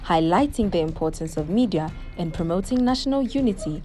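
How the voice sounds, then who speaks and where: A woman reporter reading a scripted news voice-over in a smooth, even way. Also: on the low side, a little fast, faint music behind.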